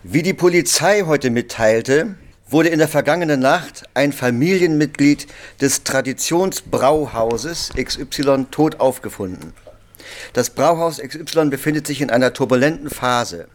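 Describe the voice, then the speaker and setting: A man's voice: mid-range voice, animated, measured pace. A man reading a scripted story brief aloud as a workshop leader.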